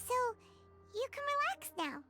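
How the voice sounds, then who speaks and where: Squeaky, lively childlike fairy companion. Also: female voice, measured pace, heavily acted. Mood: earnest. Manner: exclamatory, earnest, plucky. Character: small, childlike, excitable, loyal fairy-like companion. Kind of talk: video game voice acting. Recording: music behind the voice.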